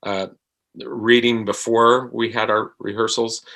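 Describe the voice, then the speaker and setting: A middle-aged man, an actor being interviewed, speaks in a halting, thoughtful way and often repeats his phrases. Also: fairly low pitch, flat delivery, a bit bright. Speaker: actor guest. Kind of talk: reflective interview conversation.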